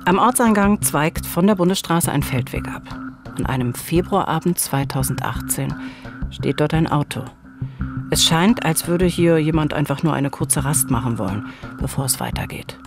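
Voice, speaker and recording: A middle-aged woman co-hosting a true-crime podcast, narrating from a script in a measured, deliberate way at a studio microphone. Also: low-pitched. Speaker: podcast co-host. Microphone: studio microphone on a boom. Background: music.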